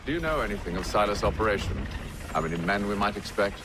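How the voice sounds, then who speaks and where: A man playing a composed, calculating British commander in a video game, speaking formally with measured authority. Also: fairly low pitch, lively intonation, noisy background, natural voice. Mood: calm command. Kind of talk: video game dramatic acting. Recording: game audio with music behind.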